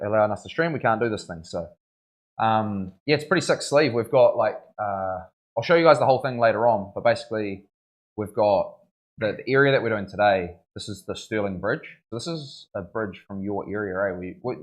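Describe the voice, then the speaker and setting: A young man, chatting casually on a live stream while tattooing, slangy and relaxed with many fillers. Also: fairly low pitch. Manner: laid-back, slangy, lots of "bro" and fillers.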